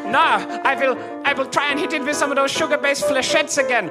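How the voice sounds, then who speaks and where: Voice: sits high, brisk, voice pushed up, close to an everyday voice. Performer playing a fierce fairy-tale schemer, melodramatic, exclamatory, improvised comedy, music behind. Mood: excited, defiant.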